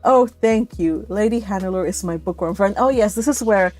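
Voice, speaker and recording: Animated millennial woman hosting a casual livestream. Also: mid-range voice, normal speed, soft background music. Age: 30s to early 40s. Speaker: livestream host of a book read-along. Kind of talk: casual live chat with viewers.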